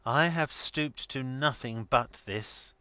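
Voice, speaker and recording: Man acting proud young gentleman, formal, measured, restrained, storybook drama, crisp bright edge, dry sound. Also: medium pitch, no room sound, pitched above the performer's own voice. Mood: proud, controlled. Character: dignified, restrained young male secretary under insult.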